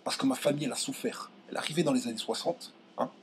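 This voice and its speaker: Heated young guy from france venting to camera, trips over words, goes shrill when he's mad, bit of background hiss. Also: racing. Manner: indignant, voice jumps high when outraged, many restarts. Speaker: video commentator. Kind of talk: heated direct-to-camera rant.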